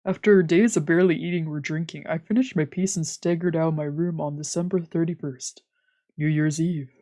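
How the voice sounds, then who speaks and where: A woman's voice: sits low, fairly even intonation, a little fast, warm tone. A woman streamer reading a visual novel aloud as dramatic narration. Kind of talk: dramatic narration of a let's play.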